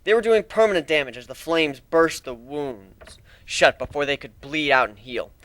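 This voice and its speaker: A man's voice: a little high, normal speed, fairly bright tone, no room sound. A man giving a dramatic first-person narration of a fantasy action story.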